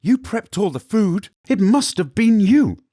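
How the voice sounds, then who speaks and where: A man's voice: high-pitched, sing-song, a little slow, warm tone, dry recording, studio quality, voice pushed up, heavily acted. A man voicing a palace servant woman who trembles as she sharply accuses the cook. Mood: accusing.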